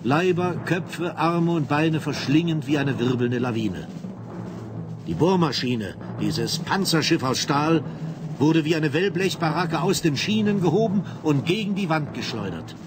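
A man's voice: a little high, lively intonation, a little slow. A man narrating a radio drama in a dramatic, scripted style. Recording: clear background machinery noise.

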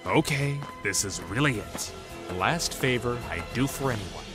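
A man's voice: lowish voice, animated, normal speed, music in the background, lightly acted. An actor playing a cocky, exasperated male smuggler captain in a comic dub.

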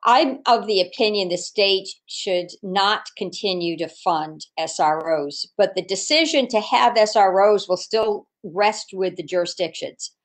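A middle-aged woman, a state legislator, explaining policy in a measured way over a video call from her living room. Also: medium pitch. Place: living room at home, indoors. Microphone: video call webcam.

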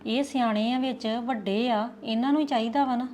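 A woman's voice: a little high, steady tone, a little fast, a little mellow, a little hiss or hum, natural voice. An actress with a Punjabi accent playing a hurt daughter-in-law who complains about her family. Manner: complaining, reproachful.

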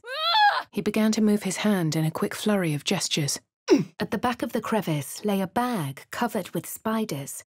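An actress voicing a posh young woman adventurer in a game, speaking in a posh English accent and swinging between quiet whispers and sharp cries, recorded close in a studio. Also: medium pitch, no room sound. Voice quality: crisp upper-class delivery. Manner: varied reading, from soft whispers to sharp shouts. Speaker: player character. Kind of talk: game voice lines, first-person narration. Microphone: close studio mic.

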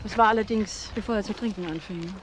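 An actress as a frank, chatty witness. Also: female voice, mid-range voice, quick pace, a little hiss or hum, close to an everyday voice, low-quality recording. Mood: frank, explanatory. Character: frank, chatty single mother, office employee witness. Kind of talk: German crime radio drama acting.

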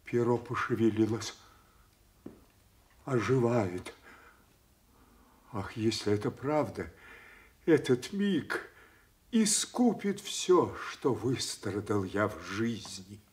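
A man playing a broken, grieving old king in a radio tragedy, declaiming in halting, anguished phrases. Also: medium pitch, lively intonation. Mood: fragile hope. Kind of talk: classical stage tragedy acting for radio.

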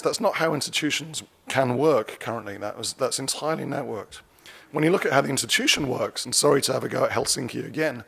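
A man's voice: mid-range voice, gravelly, breathy edge. A man in his forties giving a conference keynote from a lectern, conversational and wry, often correcting himself mid-sentence. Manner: conversational, self-correcting, dryly wry asides. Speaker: keynote speaker. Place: conference stage. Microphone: lectern mic.

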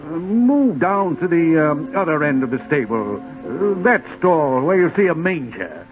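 An actor playing a flustered, self-important innkeeper in a 1940s radio drama, with a hesitant, fussy delivery. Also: male voice, a little high, sing-song, measured pace, music in the background. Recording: tinny vintage 1940s radio broadcast.

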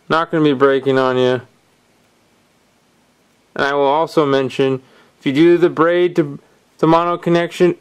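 Guy explaining fishing knots step by step, clear smooth voice, bit higher pitched. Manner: calm step-by-step explaining while tying. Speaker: fishing instructor. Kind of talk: hands-on knot-tying tutorial. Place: indoors at a wooden table.

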